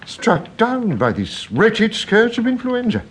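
Excitable, high-voiced elderly English rector. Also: male voice, slow, slightly rough, exaggerated voice. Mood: dismayed. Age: older. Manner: fussy, effusive, hesitant with repetitions. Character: excitable, kindly, absent-minded elderly English country rector. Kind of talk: radio drama acting.

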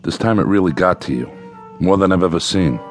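A low-voiced army captain in a radio war drama, steady and plain-spoken, music behind him. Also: male voice, brisk. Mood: concerned, probing.

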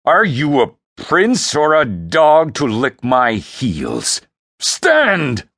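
Man acting a gravelly, thunderous emperor father. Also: medium pitch, huge pitch swings, slow pace, fairly bright tone, no echo, studio quality, pitched above the performer's own voice, exaggerated voice. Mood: thundering rage. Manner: scornful, commanding, snorting disdain. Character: towering thunderous emperor, a contemptuous domineering father. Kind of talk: dramatic fantasy audiobook character voice.

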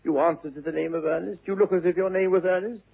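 An actor playing a witty, idle young gentleman with an upper-class English accent, arch and mock-serious in a vintage theatrical comedy style. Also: sits high, steady tone, fast talker, vocal fry, slightly rough, full character voice. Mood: accusing, amused. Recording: dull, muffled old radio recording.

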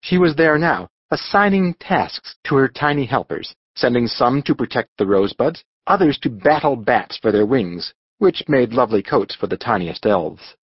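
A man narrating a storybook audiobook in a measured, expressive storytelling manner. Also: medium pitch, husky, no room sound, highs cut off.

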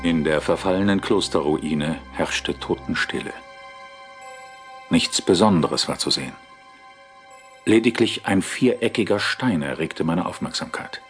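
An actor playing a wry British police inspector and ghost hunter in a German audio drama, with a flippant manner. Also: male voice, a bass voice. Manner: flippant, mock-obedient. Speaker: lead character and narrator. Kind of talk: German audio drama acting and first-person narration. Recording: studio audio drama with music bed.

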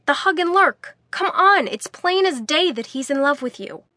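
A woman's voice: on the high side, lively intonation, normal speed, bright, forceful tone, no room sound. A woman voicing a jealous, protective young man who argues insistently. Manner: indignant, insistent.

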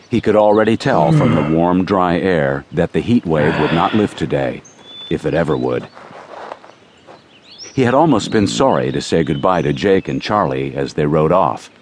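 Deep-voiced male Western narrator. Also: lively intonation, normal speed, a little mellow, slight background noise. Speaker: audiobook narrator. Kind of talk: scripted narration of a dramatized Western. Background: music.

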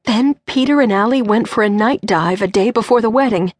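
A woman's voice: on the high side, brisk, voice pushed up, close to an everyday voice. A woman voicing a strong, elegant mother who is gasping and pleading through tears. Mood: distraught. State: crying.

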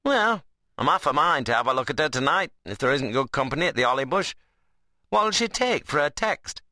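A man's voice: on the high side, sing-song, brisk, bright, forceful tone, no echo, studio quality, pitched above the performer's own voice. A man voicing a wiry, sarcastic young workman in an audiobook novel, mocking and needling in a dialect. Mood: teasing, sarcastic.

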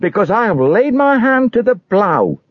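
Man acting a high-pitched, anguished pilgrim, fervent and pleading. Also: very animated, moderate pace, no room sound, narrow, tinny audio. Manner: stammering, emotional, imploring.

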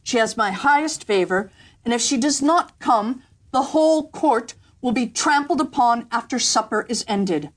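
A woman's voice: a little high, lively intonation, normal speed, pitched above the performer's own voice. A woman voicing a proud, commanding emperor in a storybook reading, declaiming imperiously.

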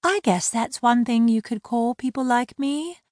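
A woman's voice: fairly high pitch, measured pace, darkish tone, no echo, studio quality, voice pushed up, lightly acted. A woman voicing a proud, prickly adult mermaid who insists on being treated with respect. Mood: defiant. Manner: indignant, crisp.

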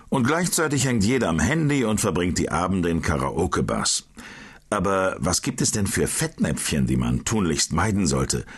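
Male, low and a little husky, animated questioner in scripted dialogue. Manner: curious, questioning, lively. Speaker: colleague asking questions in a language-course dialogue.

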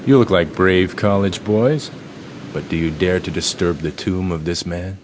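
An actor playing a solemn, ominous fraternity leader in a radio drama, with a slow theatrical and commanding delivery. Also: male voice, low voice, background noise, close to an everyday voice. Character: solemn, ominous fraternity leader telling ghost tales and giving orders. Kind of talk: dramatic radio play acting.

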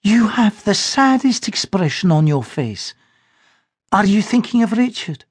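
A male narrator voicing a gentle middle-aged mother who speaks tenderly, slowly and soothingly. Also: high voice, big pitch swings, slightly rough, pitched above the performer's own voice, exaggerated voice.

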